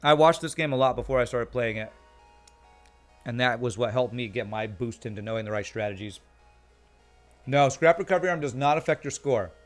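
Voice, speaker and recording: A male streamer with a calm, soothing voice that has gone a little tired after a long session. He chats easily over the game, reading comments aloud, with faint game music playing softly behind him. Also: mid-range voice, a little fast, long pauses. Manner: chatty, reads chat aloud, laughs often. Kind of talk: casual live-stream commentary.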